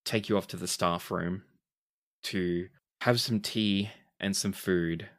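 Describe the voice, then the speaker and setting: A man running a tabletop game as game master, narrating scenes in an unhurried storytelling manner. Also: low voice, fairly even intonation, a bit bright. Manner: descriptive, unhurried storytelling with dramatic pleading quotes.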